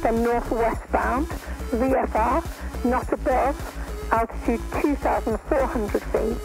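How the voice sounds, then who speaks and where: A woman's voice: fairly high pitch, takes it slowly, creaky, over music, poor audio. A woman working as an air traffic controller, speaking in procedural phrases over aviation radio. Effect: aviation radio transmission.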